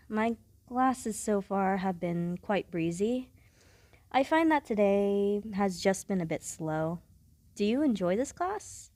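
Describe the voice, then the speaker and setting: A young woman playing a blunt, guarded, socially awkward studious schoolgirl in an audio roleplay, with a halting, matter-of-fact manner. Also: fairly low pitch, darkish tone, dry recording. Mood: awkward, polite. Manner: halting, hesitant, matter-of-fact, formal wording. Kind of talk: scripted audio roleplay, addressing the listener directly.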